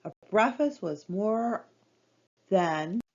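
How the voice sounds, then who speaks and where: A woman's voice: medium pitch, expressive, drawn-out words, dull audio. A woman narrating a children's storybook in a scripted read-aloud style.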